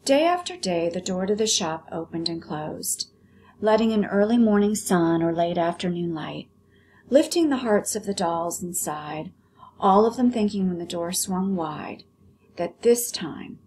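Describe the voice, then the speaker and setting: A woman with an American accent, a teacher explaining words and reading a story aloud with clear phrasing for children. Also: fairly low pitch, lively intonation, a little slow, a little mellow, a little hiss or hum. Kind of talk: vocabulary lesson and expressive story read-aloud.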